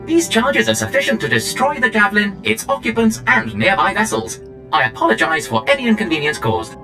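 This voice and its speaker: An actor playing a polite, proud demolition droid in a video game, formal and pedantic. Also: male voice, high-pitched, very animated, fairly quick, over music. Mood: smug courtesy. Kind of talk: scripted video game acting.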